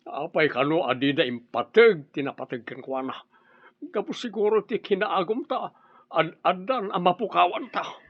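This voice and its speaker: A man's voice: sits high, lively intonation, normal speed. A man playing a worried, money-minded middle-aged father in an Ilocano radio drama. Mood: calculating. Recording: dull, lo-fi audio.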